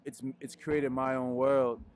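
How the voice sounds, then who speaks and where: A young man from Peru, a musician answering interview questions in a relaxed, rambling way with lots of fillers, speaking into a handheld mic indoors. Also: medium pitch, measured pace, smooth. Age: young adult. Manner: laid-back, rambling, frequent fillers. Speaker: musician guest. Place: indoors in front of a wooden wall.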